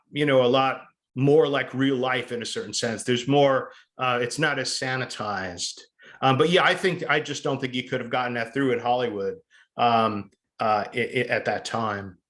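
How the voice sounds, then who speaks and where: Man giving an informal, halting film lecture. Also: medium pitch, a bit bright.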